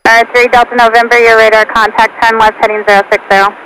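A woman's voice: on the high side, level tone, fast talker, fairly bright tone, poor audio. A woman air traffic controller giving clipped procedural instructions over aviation radio.

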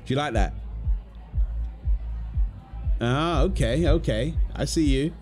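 A man's voice: medium pitch, slow pace, darkish tone, some chatter behind. A young man performs an intimate audio roleplay. His voice sits in a middle register and he speaks casually, as if to someone near him. Music plays behind him. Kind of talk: ASMR boyfriend roleplay acting.

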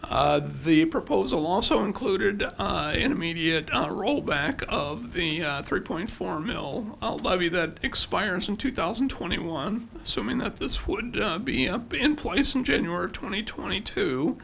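An older man giving a formal presentation as a city official at a podium microphone, with halting, hesitant phrasing. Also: high-pitched, lively intonation, vocal fry, a bit bright, a little hiss or hum, poor audio. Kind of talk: formal budget presentation with slides. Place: indoor public council chamber with seated audience. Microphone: podium gooseneck microphone.

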